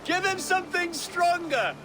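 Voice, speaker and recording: An actor playing a proud young lord in a video game, scornful yet eager, with an expressive, halting delivery. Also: male voice, very high voice, noisy background. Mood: tipsy, contrite. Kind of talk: dramatic video game acting.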